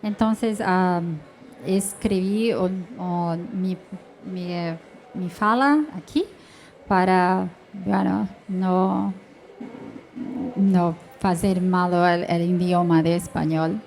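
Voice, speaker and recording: A woman with a Brazilian Portuguese accent, a native Portuguese speaker, carefully and haltingly reading prepared panel remarks in a second language. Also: on the low side, fairly even intonation, gravelly, a little mellow, slight background noise.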